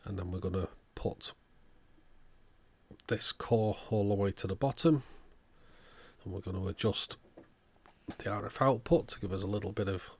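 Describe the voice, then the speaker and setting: A man with a low voice and a strong creak talks through a hands-on repair. He goes methodically, one step after another, in the manner of an electronics technician showing his work. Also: normal speed, long pauses. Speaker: electronics repairer, channel host. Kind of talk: hands-on repair demonstration narration.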